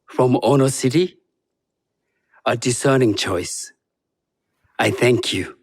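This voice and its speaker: A man playing a courteous, dignified tea master in a video game, with a formal, gracious and measured manner. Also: medium pitch, breathy edge. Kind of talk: video game cutscene acting.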